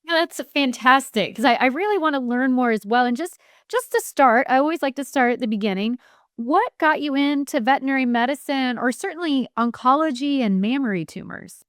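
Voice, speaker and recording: Woman podcast host; upbeat and eager, pitched a little high, dry close mic. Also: animated, brisk, no echo. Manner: upbeat, eager, full of praise for the guest. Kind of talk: podcast interview hosting.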